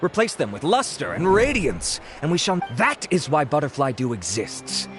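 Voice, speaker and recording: An actor playing a vain, smooth-talking researcher in a video game, giving a grandiose sales presentation. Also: male voice, a little high, huge pitch swings, normal speed, faint music behind. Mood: grandiose, persuasive. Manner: smug, grandiose, polished. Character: vain, handsome young male researcher pitching a beauty product. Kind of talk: theatrical product presentation, game voice acting.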